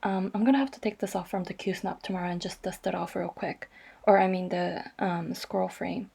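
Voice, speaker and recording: A woman narrating her cross-stitch vlog in a chatty, rambling way, her voice a little raspy and stuffy from allergies. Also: lowish voice, fairly even intonation, normal speed, a little mellow. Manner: chatty, rambling, frequent ums. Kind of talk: casual voice-over vlog narration.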